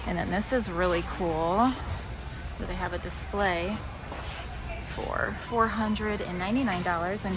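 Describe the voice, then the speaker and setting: A woman giving casual, chatty running commentary for a shopping vlog, thinking aloud as she goes. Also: medium pitch. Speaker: family shopping vlogger. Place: large indoor warehouse store. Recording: clear background noise of warehouse store.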